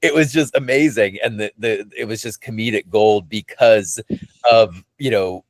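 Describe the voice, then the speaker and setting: Guy with a lowish voice geeking out on a podcast, effusive, rambly, close dry sound. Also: normal speed, no echo. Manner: enthusiastic, effusive. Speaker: podcast host. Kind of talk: casual fan chat.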